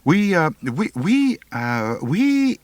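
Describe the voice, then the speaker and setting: A jittery teenage boy, acted by a male narrator, hesitant and sheepish. His pitch lifts and leaps as he speaks, in a dry, close storybook recording. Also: sing-song, very slow, no room sound, full character voice. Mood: nervous. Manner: hesitant, stammering.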